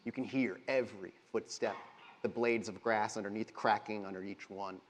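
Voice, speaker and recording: A man's voice: on the low side, fairly even intonation, normal speed, slight background noise. Man narrating as game master, echoey hall. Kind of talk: live narration of a tabletop horror game. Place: live stage at a gaming convention. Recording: echoey hall, live convention show.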